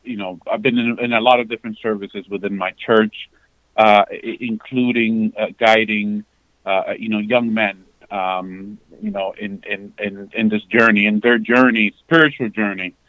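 A man in his forties from the Dominican Republic, a guest talking haltingly with many fillers and restarts. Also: fairly low pitch, dry recording. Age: 40s. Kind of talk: candid conversational interview. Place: parked outside a sports venue. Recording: dull lo-fi remote call.

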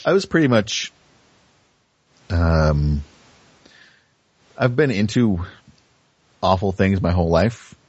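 Male podcast guest, very low voice, frank conversational delivery, dry lo-fi recording. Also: expressive, takes it slowly, long pauses, darkish tone, slightly rough, no echo. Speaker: interview guest. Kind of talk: casual chat.

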